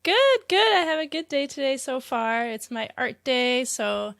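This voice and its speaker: A woman in her thirties, born in Spain and raised near Chicago, chatting as a guest, soft-spoken and laughing often. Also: high-pitched, very animated, a little slow, vocal fry, no room sound, clean studio sound. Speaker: guest illustrator. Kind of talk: casual interview chat.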